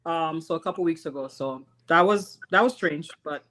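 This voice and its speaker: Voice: medium pitch, moderate pace, a bit bright. A podcast host with a mid-range voice leads the conversation in an easy, chatty way. The questions ramble a little and come with plenty of laughter. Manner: friendly, laughs often, loose rambling questions. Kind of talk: casual interview chat.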